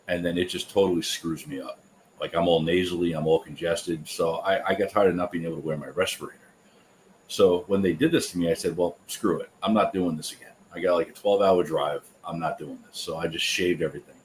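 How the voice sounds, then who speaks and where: A man joining a live podcast over a video call, telling a story in a relaxed, plain-spoken way. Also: deep voice, a little fast, slight background noise, poor audio. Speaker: podcast guest, new content creator. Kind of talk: casual live-stream chat.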